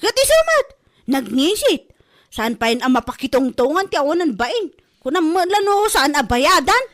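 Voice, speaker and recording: A woman acts a shameless, lazy Filipino debtor with a very high voice and lively swoops. The character whines and gossips as an aggrieved party in a comedy drama. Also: moderate pace. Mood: indignant gossiping. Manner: whining, complaining, gossipy. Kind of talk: comedy radio-drama acting.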